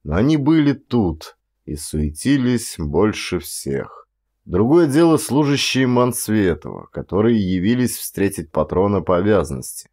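A man's voice: on the low side, very animated, unhurried, darkish tone. A man narrating a literary audiobook as an actor reading dramatically.

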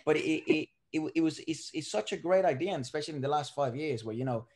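Laid-back young man, slightly high voice. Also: steady tone, fast, darkish tone. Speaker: podcast co-host. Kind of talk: casual podcast conversation. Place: living room.

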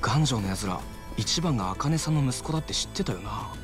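An actor playing a brash, familiar sidekick in a video game, speaking in an impatient, teasing, casual way. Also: male voice, medium pitch, lively intonation, fairly quick, over music, lightly acted. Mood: puzzled. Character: brash, familiar, working-class male sidekick. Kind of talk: Japanese video game cutscene acting.

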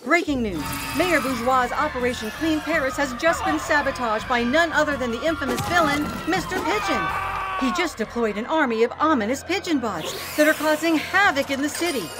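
An actress playing a brisk, dramatic TV news reporter in an animated game. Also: female voice, high-pitched, loud music behind the voice. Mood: urgent. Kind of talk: animated newscast delivery.

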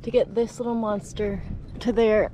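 A woman's voice: medium pitch, flat delivery, moderate pace. Young woman vlogging from a windy sailboat. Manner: chatty, upbeat, talking to camera and cat. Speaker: sailing vlogger. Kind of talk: casual vlog narration. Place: outdoors on a sailboat deck. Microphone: action camera held at arm's length. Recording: loud wind and water noise.

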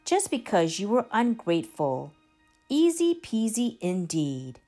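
A woman's voice: medium pitch, wildly swooping pitch, measured pace, dark tone, soft background music. Woman as a prim little Christmas fairy. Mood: scolding. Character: small scolding but kindly female Christmas fairy. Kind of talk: children's storybook character voice.

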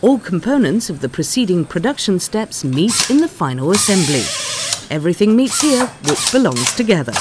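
A narrator reading a scripted factory documentary in a measured, explanatory way. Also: mid-range voice, noisy background. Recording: music bed behind narration. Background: background music.

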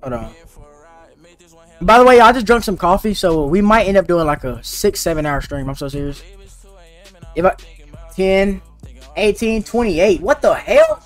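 A man's voice: high-pitched, expressive, moderate pace, pauses often, faint music behind. A teenage boy streaming a game, chatting casually and slangily with his viewers. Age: teens. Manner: slangy and laid-back, talking to chat. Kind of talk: casual live-stream chat while gaming.

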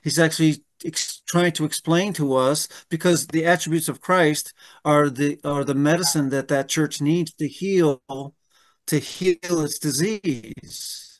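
A man's voice: on the high side, measured pace. A man in his fifties, a pastor leading a Bible study over a video call from home, who often restarts his sentences and keeps asking "right?". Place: room at home. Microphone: webcam on a video call.

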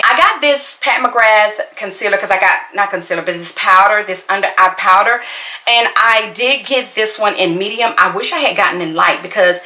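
A woman's voice: medium pitch, sharp tone, roomy sound. A woman in her forties vlogging while doing her makeup, talking back to a commenter in a sassy way and repeating herself for emphasis. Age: 40s. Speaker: YouTuber. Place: bedroom at home, indoors. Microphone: fixed camera at desk.

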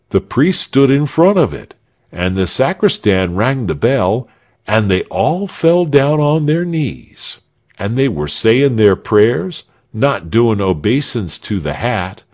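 A man voicing a gruff, uneducated soldier in armor who grumbles in rough dialect. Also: medium pitch, very animated, slow pace, darkish tone, pitched above the performer's own voice. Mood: indignant. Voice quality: rough dialect, dropped g's. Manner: grumbling, boastful.

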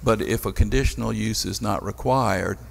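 An older man questioning staff as a council member in a formal meeting, halting and deliberate, at a desk microphone in a chamber. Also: fairly low pitch, vocal fry, noisy background. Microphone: gooseneck desk microphone. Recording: reverberant meeting hall.

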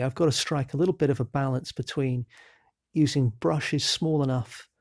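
A man with a cold, narrating a real-time painting demonstration in a relaxed, explanatory way with frequent ums and self-corrections. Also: medium pitch, dry recording, clean studio sound. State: has a cold. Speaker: painting instructor.

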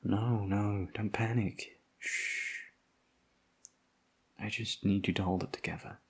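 A man playing a tough, protective, foul-mouthed bodyguard with a husky voice in an ASMR audio roleplay. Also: lowish voice, steady tone, slow, heavily acted. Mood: hushed, reassuring. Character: tough protective male bodyguard, foul-mouthed, tender toward his charge.

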